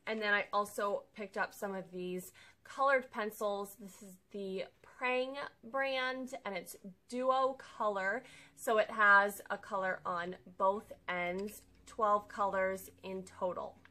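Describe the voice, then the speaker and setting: A woman's voice: mid-range voice, steady tone, slow, bright, forceful tone. A young woman vlogging casually in her living room, chatting upbeat as she explains each item of a shopping haul to the camera. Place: living room with a sofa, indoors.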